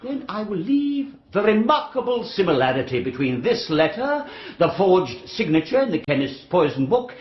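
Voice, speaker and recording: Radio drama prosecutor: English, relentless, pompous, very animated intonation, echoing and slightly dull sound. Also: male voice, high voice. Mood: accusing. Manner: pompous, incredulous, deliberate courtroom delivery. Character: sneering, relentless male prosecuting barrister.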